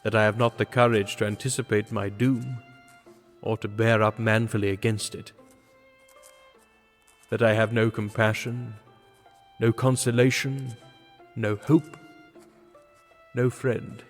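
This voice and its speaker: A man's voice: fairly low pitch, steady tone, unhurried, long pauses. A man giving a grave, theatrical reading of a literary story as an audiobook narrator. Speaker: audiobook reader. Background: background music.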